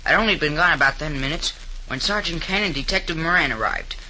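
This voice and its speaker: An earnest young store helper in a 1930s radio crime drama. His voice is fairly high and piercingly bright, with eager delivery and a slight hiss on the old recording. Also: fast, low-quality recording. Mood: earnest narrating. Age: young adult.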